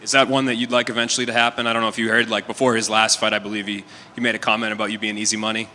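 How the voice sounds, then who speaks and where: A man's voice: lowish voice, little pitch movement, rapid-fire, bright, forceful tone, big-room sound, a little hiss or hum. A man asking a fighter direct, playful questions at a media scrum. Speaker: MMA reporter. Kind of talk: press interview questions.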